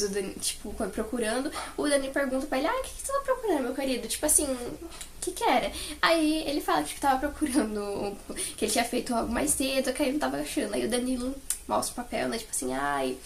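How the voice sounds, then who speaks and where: A young woman with a Brazilian Portuguese accent chatting casually as a fan, in a gushing, animated way. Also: sits high, fast talker, creaky, a little hiss or hum. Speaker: fan vlogger. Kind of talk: casual chat recounting favourite moments.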